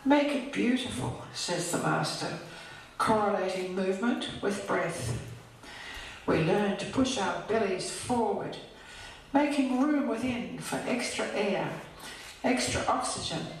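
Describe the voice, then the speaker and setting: An older woman recites a poem at a slow, deliberate pace. Her voice is heard from a distance in a very echoey hall. There is some background noise around it. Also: mid-range voice, lively intonation. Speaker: poet reading her own poem. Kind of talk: scripted poetry recital.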